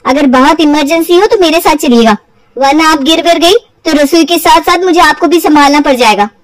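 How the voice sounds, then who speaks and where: A woman voicing a caring, slightly put-upon young daughter-in-law, earnest and expressive, in an acted storybook. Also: high-pitched, a little fast, no echo, close to an everyday voice, low-quality recording. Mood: eager, caring. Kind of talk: acted Hindi audio storybook.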